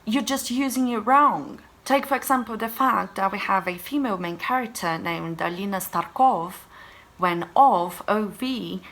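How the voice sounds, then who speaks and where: A woman's voice: mid-range voice, measured pace, a bit bright. A woman whose first language is Portuguese, talking through a book review in a casual vlog, emphatic and exasperated as she rants.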